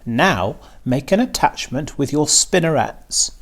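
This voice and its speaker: A man's voice: fairly high pitch, lively intonation, fairly bright tone, voice pushed up, exaggerated voice. A man voicing a clever female spider from a children's storybook, a patient, wry teacher who speaks precisely. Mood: wry, instructive. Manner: precise, deliberate, instructive.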